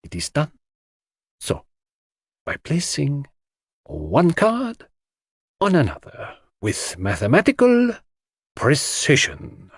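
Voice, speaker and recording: Man acting theatrical foreign detective, animated and husky. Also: fairly high pitch, takes it slowly, long pauses, no echo, studio quality, voice pushed up, heavily acted. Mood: precise, intent. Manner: grandiose, courteous, emphatic. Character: theatrical, complacent, excitable foreign male detective.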